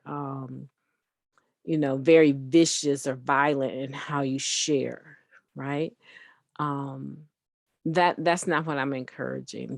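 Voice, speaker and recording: A woman with a low, gravelly, booming voice delivers an earnest recovery meditation. She talks slowly and conversationally, checking in with her listeners as she goes. The sound is dry and close. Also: darkish tone, no echo. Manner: earnest, conversational, frequent tag questions. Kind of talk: encouraging spoken daily meditation.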